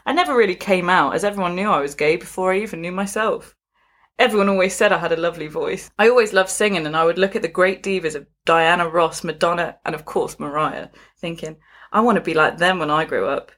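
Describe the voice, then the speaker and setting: A woman with a fairly low-set voice hosts in a casual style, reading a story aloud with amusement. She is giggly and tends to step out of the text with chatty asides. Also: fast talker, a bit bright. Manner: giggly, often breaking off to comment. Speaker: podcast host reading out a magazine story.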